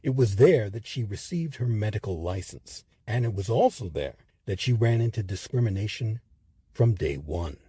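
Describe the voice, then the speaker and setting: A man narrating a scripted history documentary in a measured, expressive storytelling manner. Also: fairly low pitch, slightly rough, no echo.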